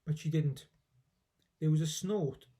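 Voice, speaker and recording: A man reading a children's story aloud in an expressive storybook narration style. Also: a little high, a little slow.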